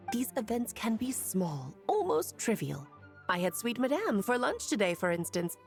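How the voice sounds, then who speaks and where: A woman voicing an ageless, cheerful and wise witch who speaks in a lilting, gently teasing way. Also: on the high side. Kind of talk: video game voice acting.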